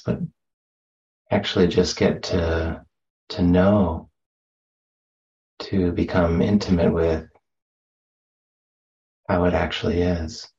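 A man with a deep voice guides a meditation very slowly and gently. He leaves long, unhurried pauses between short phrases. The recording is a little dull. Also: gravelly. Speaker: meditation teacher. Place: meditation center.

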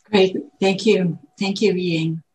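Enthusiastic woman dharma teacher, slightly low. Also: takes it slowly, low-quality recording. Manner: enthusiastic, animated, scholarly. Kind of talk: teaching an online class. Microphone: video call.

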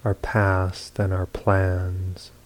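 A man leading a guided meditation as a teacher, speaking in short, measured phrases with long pauses between them. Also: low voice, monotone. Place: meditation retreat hall.

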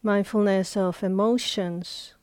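A woman leading a guided meditation as a teacher, speaking in short phrases with long, unhurried pauses. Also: medium pitch, steady tone, a little mellow, no room sound.

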